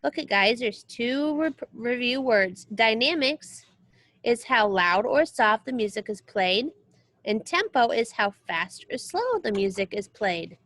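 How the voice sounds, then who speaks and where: A woman reading a children's music book aloud as a teacher, expressive and explanatory. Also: fairly high pitch, measured pace. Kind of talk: read-aloud lesson for children.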